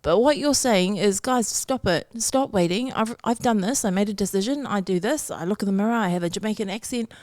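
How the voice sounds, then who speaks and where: A woman's voice: medium pitch, brisk, few pauses, darkish tone, dry recording, clean studio sound. A woman from New Zealand co-hosting a podcast, speaking emphatically with pointed rhetorical questions. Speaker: podcast co-host. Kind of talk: casual chat.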